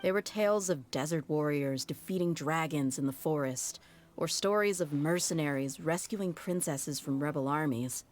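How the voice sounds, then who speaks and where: Brief: an actress as a candid, deep-voiced female sellsword who speaks plainly. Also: moderate pace, natural voice. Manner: frank, wry, matter-of-fact. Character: tough young female mercenary, blunt and candid. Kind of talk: video game cutscene dialogue.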